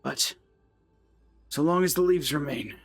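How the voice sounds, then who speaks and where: A man reading out the solemn, measured dialogue of earnest soldiers in a fantasy game story. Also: fairly high pitch, soft background music. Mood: solemn, resolute. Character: earnest soldiers speaking gravely over a wounded captain.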